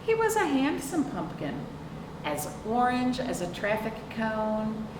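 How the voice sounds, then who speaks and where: A woman reads a picture book to young children at an unhurried pace, with lively swings in pitch. She is expressive and turns to her listeners with questions. The sound is roomy, picked up from a distance. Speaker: librarian hosting a children's storytime. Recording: roomy, distant microphone, clear background noise.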